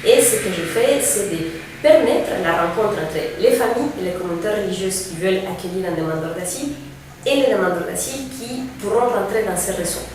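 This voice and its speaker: A woman in her thirties from Mexico, a refugee aid coordinator, calmly explaining her work in a presentation. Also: medium pitch. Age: 30s. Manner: explanatory, measured, with fillers. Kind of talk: presentation to camera. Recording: very echoey room, distant mic, faint noise.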